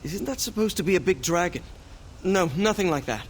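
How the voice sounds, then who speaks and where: A man's voice: sits high, expressive, fairly quick, slight background noise. An actor playing a frightened, relieved townsman in a video game, speaking anxiously and gratefully. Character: relieved frightened male townsman fleeing monsters. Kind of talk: scripted game dialogue.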